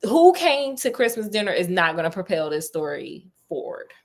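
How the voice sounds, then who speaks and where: A woman's voice: fairly low pitch, big pitch swings, moderate pace. A woman storyteller casually retelling a classic novel with sassy, chatty asides.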